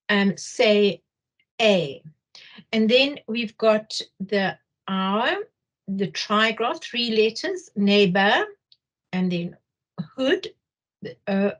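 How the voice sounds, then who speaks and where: A middle-aged woman with a Zimbabwean accent explaining material as a workshop trainer, haltingly, often repeating phrases for emphasis. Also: medium pitch. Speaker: teacher trainer leading a workshop. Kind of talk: explanatory lecture.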